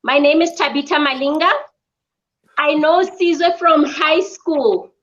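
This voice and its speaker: A woman from South Africa, a spa business owner, answering interview questions in an earnest, measured way with frequent ums. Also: high voice, a bit bright, slight background noise. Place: her mother's home. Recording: dull lo-fi audio.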